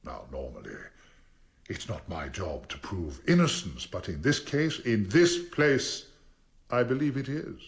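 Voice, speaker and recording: An actor playing a formidable English defence barrister in a radio drama, commanding, deliberate and rhetorical. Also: male voice, lowish voice, very animated. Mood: solemn pressing.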